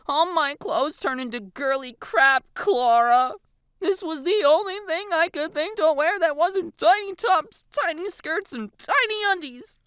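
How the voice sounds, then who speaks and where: A woman acts out a panicked teenage boy turned girl in an audio story. The voice is high, whiny and breathy, tearful and pleading, with dramatic, petulant swings. It sounds close and dry. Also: very animated, normal speed, pushed and bright, dry recording, full character voice.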